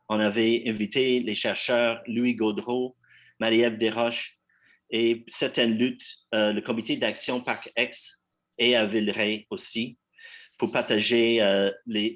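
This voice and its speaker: An anglophone man introduces a community conference evening. He speaks haltingly, restarting phrases and filling gaps, in a mid-pitched everyday voice. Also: a bit bright. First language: English. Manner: halting, many fillers and restarts. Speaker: event host.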